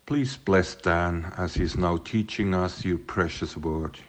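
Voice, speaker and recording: Slow, deep-voiced Swiss man. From Switzerland. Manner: friendly, unhurried. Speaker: guest sending a video greeting. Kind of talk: greeting and prayer.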